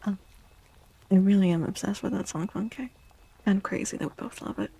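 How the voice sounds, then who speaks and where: A woman streaming a soft-spoken ASMR tarot reading close to the mic, often slipping into a breathy whisper, with a playful, cutesy, affectionate manner. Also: mid-range voice, fairly quick. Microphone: close microphone.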